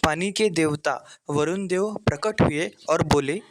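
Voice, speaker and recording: A man's voice: a little high, unhurried, very noisy. A man narrating a scripted children's folktale as the storyteller.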